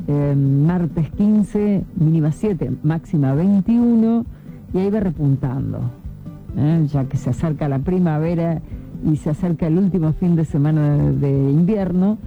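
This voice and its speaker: A woman's voice: sits low, unhurried, phone-quality sound. A woman, hosting early-morning call-in radio and chatting as she reads out listener messages. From Córdoba, Argentina. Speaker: radio host. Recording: phone-thin radio broadcast with faint music.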